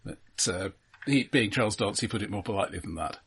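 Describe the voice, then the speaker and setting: Husky, low-voiced man, halting delivery. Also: animated, a bit bright. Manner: halting, stammering delivery with repeated words. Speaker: podcast co-host. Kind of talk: casual film discussion.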